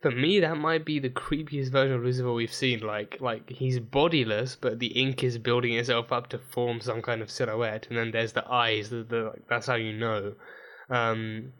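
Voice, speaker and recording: Croaky-voiced man rambling through a review. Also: mid-range voice, steady tone, normal speed, fairly bright tone. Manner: rambling, many fillers and restarts. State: dry, croaky throat with coughs. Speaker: TV episode reviewer. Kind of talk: casual reaction video recap.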